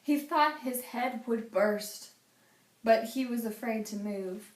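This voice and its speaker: A woman reading a children's picture book aloud as a teacher, with a deliberate, dramatic storytelling delivery. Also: on the high side. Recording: echoey room.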